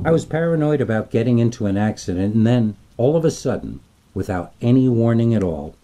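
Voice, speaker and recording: A man reading a scripted scary story as narrator, in a dramatic, deliberate storytelling manner. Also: on the low side, dark tone.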